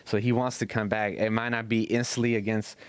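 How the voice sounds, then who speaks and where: Male podcast host, low smooth voice, dry recording, loose slangy swearing, casual sports talk. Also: flat delivery, brisk, no room sound. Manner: slangy, profane.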